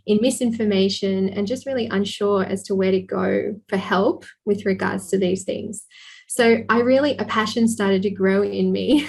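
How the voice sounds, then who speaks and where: A husky-voiced woman talks in a fairly level, even tone. She explains things earnestly as a podcast guest and laughs lightly now and then. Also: mid-range voice. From Australia. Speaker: sex therapist guest. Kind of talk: podcast interview.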